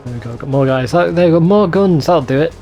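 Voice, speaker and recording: A man's voice: fairly high pitch, expressive, quick pace, dark tone, highs cut off, faint music behind. A man giving casual, sweary commentary over a video game in an informal, bemused way. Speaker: let's play video host.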